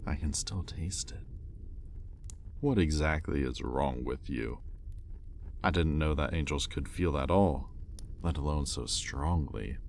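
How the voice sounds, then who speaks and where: An extremely low-pitched male demon, acted by a man, speaks in an intimate roleplay style. He is a teasing, sardonic captor, arrogant and curious, talking conversationally over faint background noise. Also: unhurried, creaky, pauses often, a little mellow, heavily acted. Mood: bewildered, shaken. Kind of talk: intimate audio roleplay acting.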